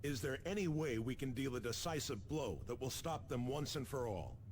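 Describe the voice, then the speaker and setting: An actor playing a battle-hardened, serious space marine sergeant in a video game, speaking firmly and formally. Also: male voice, medium pitch, expressive, moderate pace, slight background noise, lightly acted. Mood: grave concern. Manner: firm, formal, soldierly.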